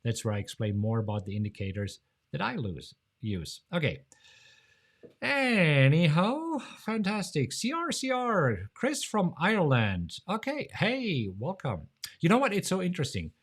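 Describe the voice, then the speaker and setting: A man from Germany with a German accent, hosting a casual live-stream Q&A in a chatty, jokey way, laughing often and jumping up in pitch. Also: sing-song, measured pace, fairly bright tone, breathy edge. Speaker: host of a live trading show.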